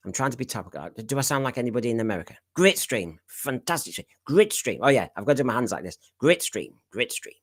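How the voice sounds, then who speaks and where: A man's voice: mid-range voice, sing-song, fast talker, hardly any pauses, dry recording. A man hosting a live fan podcast stream, chatty and jokey, rambling through asides and housekeeping. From Britain. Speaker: podcast host. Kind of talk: casual live stream chat. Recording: dry close sound.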